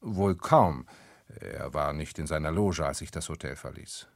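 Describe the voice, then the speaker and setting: An actor playing a formal, guarded lawyer giving precise, clipped answers in a radio crime play. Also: male voice, very deep voice, animated, a little slow, creaky, no echo, studio quality, heavily acted. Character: guarded, formal male lawyer, junior partner of a firm.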